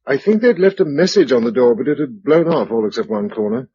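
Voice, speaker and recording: Weary male survivor leader, husky voice, lively intonation, decisive, radio play, dull recording. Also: mid-range voice, a little fast. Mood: weary, resolute. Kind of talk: radio drama acting.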